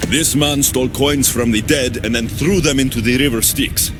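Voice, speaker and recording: An actor playing a determined, blunt ancient Greek warrior hero in a video game, speaking in an earnest, terse way. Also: male voice, medium pitch, steady tone, normal speed, music in the background, close to an everyday voice. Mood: matter-of-fact. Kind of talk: video game cutscene acting.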